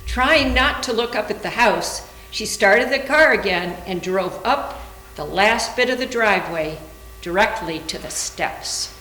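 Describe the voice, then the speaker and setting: A woman's voice: medium pitch, lively intonation, unhurried, pushed and bright. A woman reading scripted narration as the narrator of a readers theater performance. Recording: echoey room with faint hum.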